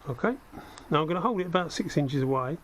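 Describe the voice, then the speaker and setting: A man with a slightly croaky, cold-affected voice giving a casual hands-on demonstration, thinking aloud as he works. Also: fairly high pitch, quick pace. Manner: conversational, self-deprecating asides, thinking aloud while working. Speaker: hobbyist host demonstrating spray gun equipment. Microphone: head-mounted action camera. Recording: steady background noise from workspace.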